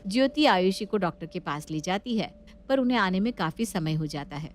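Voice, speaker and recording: A woman's voice: mid-range voice, expressive, normal speed, soft background music. A woman narrating a scripted family story as its storyteller.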